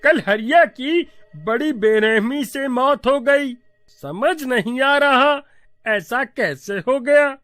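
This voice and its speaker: Horror cartoon townsperson, acted by a man, anxious gossip, extremely high voice, dry sound. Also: expressive, takes it slowly, a bit bright, dry recording, faint music behind, exaggerated voice. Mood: worried, puzzled. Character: worried, gossiping townsperson puzzled by a death. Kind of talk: horror cartoon story acting.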